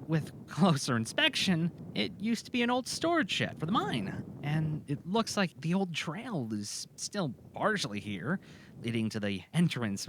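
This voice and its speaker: A man's voice: on the high side, noisy background. A man narrating an audio drama as a scripted story, in a dramatic storytelling style.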